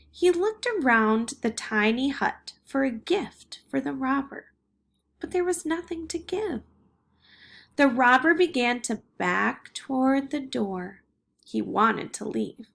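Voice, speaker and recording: A woman reading a children's picture book aloud in an expressive way. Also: fairly high pitch, creaky. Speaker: storybook reader.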